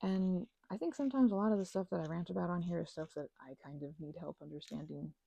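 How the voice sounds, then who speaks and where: Woman, thirties, ASMR rambler. Mellow, breathy delivery, playful and emphatic, recorded very dry. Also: lowish voice, quick pace, dry recording. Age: 30s. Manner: emphatic, playful slangy rambling with quoted asides. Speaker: ASMR channel host. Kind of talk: casual rambling ASMR monologue.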